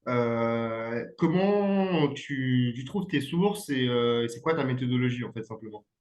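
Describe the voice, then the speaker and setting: A man hosting an interview, asking questions in a halting way with many fillers. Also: mid-range voice, lively intonation, a little mellow, highs cut off. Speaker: interview host. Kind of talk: casual interview. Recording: echoey room.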